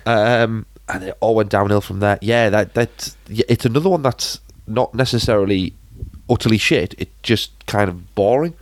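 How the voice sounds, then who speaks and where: A man's voice: lowish voice, normal speed, few pauses, dry recording. A British man co-hosting a podcast, chatting casually about films in an informal, rambling and blunt way. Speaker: podcast co-host and film reviewer. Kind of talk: casual film chat.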